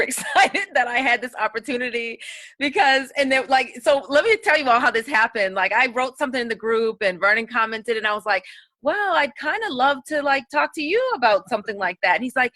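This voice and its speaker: Female host, thirties; gushing, grateful, bright edge, dry sound. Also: a little high, no room sound. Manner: effusive and grateful, quick laughs. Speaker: interview host. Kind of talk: warm conversational interview.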